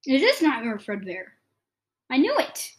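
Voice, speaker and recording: A woman's voice: high-pitched, wildly swooping pitch, measured pace. A woman doing casual reaction commentary as a video creator, guessing aloud and blurting dismissive remarks to herself and viewers.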